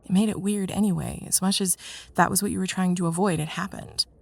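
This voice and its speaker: A woman playing a young student in love with her best friend, in an intimate ASMR roleplay, speaking softly and hesitantly, often near a whisper. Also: lowish voice, steady tone, a little fast. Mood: earnest, frustrated. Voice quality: soft breathy, husky, often near whisper. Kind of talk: intimate ASMR roleplay, one side of a dialogue.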